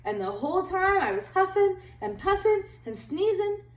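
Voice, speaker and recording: A roomy, distant recording carries a woman playing a chatty storybook wolf who excuses himself at every turn. The voice is high and very animated, leaning hard on key words. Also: moderate pace. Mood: indignant. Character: chatty, self-justifying male wolf telling his side. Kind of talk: animated children's read-aloud narration.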